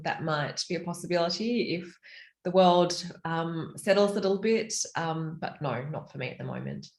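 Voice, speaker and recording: A woman with an Australian accent, an author chatting in a virtual panel, animated and full of fillers. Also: deep voice, echoey room.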